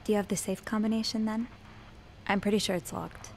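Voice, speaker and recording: A woman's voice: medium pitch, steady tone, fairly quick, a little mellow, close to an everyday voice. Young female hotel maid, acted by a woman, curious and anxious, natural mystery-game dialogue, hesitant delivery, faint noise behind.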